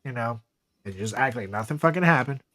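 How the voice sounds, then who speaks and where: A man's voice: mid-range voice, animated, quick pace, sharp tone, no echo. A man hosting a comedy podcast with casual, profane banter and theatrical announcer flourishes. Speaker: podcast host.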